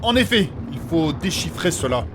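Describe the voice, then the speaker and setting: A man's voice: fairly high pitch, very animated, normal speed, noisy background. A man playing a learned, formal and fussy scholar of magic in a comic fantasy audio drama, precise and prone to repeating himself. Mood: earnest, explanatory. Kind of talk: French fantasy comedy audio fiction acting.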